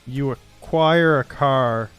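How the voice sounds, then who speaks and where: Halting man chatting on a stream. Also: mid-range voice, fairly bright tone, faint music behind, poor audio. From Canada. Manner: halting, thinking aloud, frequent throat clearing. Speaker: livestream host. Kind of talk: casual game-stream chat, improvising story prompts aloud.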